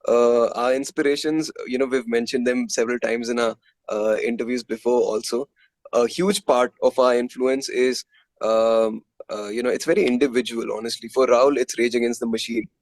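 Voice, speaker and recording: A man with an Indian accent speaks in a casual interview style. His voice sits at an average pitch, and the sound is dry, with hardly any room in it. He comes across as a musician answering questions conversationally. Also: steady tone, normal speed, darkish tone, dry recording.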